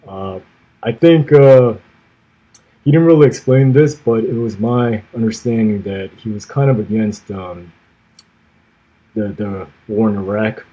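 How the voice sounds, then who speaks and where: A man in his thirties, speaking in a low, mellow and slightly husky voice. He answers at an easy pace, filling gaps with "uh" and dropping to a quiet finish at the end of his phrases. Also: low-quality recording. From grew up in the United States. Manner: measured, many "uh" pauses, trailing off softly at phrase ends. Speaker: podcast guest, former navy officer. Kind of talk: conversational interview answers.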